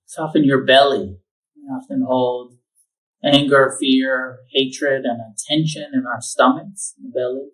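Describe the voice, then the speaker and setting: A man leading a guided meditation, giving slow, calm instructions with long pauses. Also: mid-range voice, far from the mic, rough recording. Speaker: meditation teacher.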